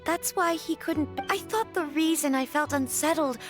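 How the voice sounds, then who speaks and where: Earnest young lady of a noble clan , female actor, high voice, formal game dialogue over music. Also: normal speed, lightly acted. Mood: earnest, resolved. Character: earnest, dutiful young noblewoman finding confidence. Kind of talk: scripted video game dialogue. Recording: music behind.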